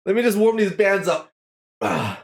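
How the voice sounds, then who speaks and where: A playful streamer talks casually to an online audience. The pitch jumps around with great liveliness, and phrases come out as exclamations, often repeated for effect. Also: sing-song, moderate pace. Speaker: livestream host. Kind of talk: casual livestream chat to viewers.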